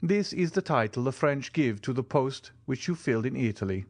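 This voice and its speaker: A man voicing a cool, composed wealthy count who speaks in a measured way. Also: mid-range voice, expressive, no room sound, voice pushed up.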